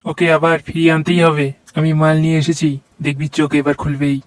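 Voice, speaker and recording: A woman's voice: low voice, flat delivery, fast talker, no echo, lightly acted. A woman voicing a nosy, mocking male village sidekick who asks teasing questions in a comic cartoon. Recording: noisy cartoon soundtrack.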